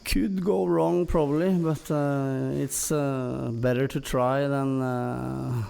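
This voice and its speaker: Man, Norwegian accent, creaky gravelly voice, medium pitch, hesitant phrasing, casual podcast guest storytelling. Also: steady tone, warm tone. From Norway. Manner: halting, hesitant delivery with frequent long hesitations.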